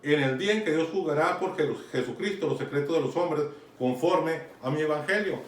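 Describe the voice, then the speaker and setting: A man's voice: fairly high pitch, fairly quick. A man with a Mexican accent preaching a conversational Bible sermon, often checking in with his listeners. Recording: echoey room, from far off, faint noise.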